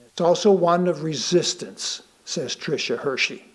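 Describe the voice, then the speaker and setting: An American man with a high voice delivers a written sermon. His pace is slow and deliberate, and he leaves pauses to let key phrases land. He puts rhetorical questions to his listeners as he reads. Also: breathy edge. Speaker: lay reader delivering a sermon. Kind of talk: sermon read aloud to a congregation.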